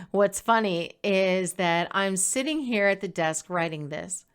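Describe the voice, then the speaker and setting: A woman reading her own blog post aloud as scripted personal narration, in a conversational, expressive storytelling manner. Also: medium pitch, takes it slowly, smooth.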